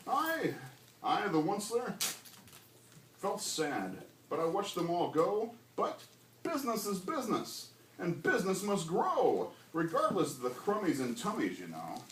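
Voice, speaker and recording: A man's voice: sits high, huge pitch swings, measured pace, a bit bright. A man reading a rhyming storybook aloud in a dramatic, emphatic way, in a classroom. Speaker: volunteer storybook reader. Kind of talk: expressive rhymed storybook reading. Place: school classroom. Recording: roomy, distant mic, background noise.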